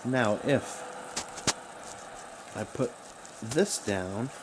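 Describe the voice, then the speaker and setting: A man talking casually and haltingly as he rambles through a hobbyist's gadget troubleshooting demonstration. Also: mid-range voice. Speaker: radio hobbyist. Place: small room at home, indoors. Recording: noisy home recording with background hiss.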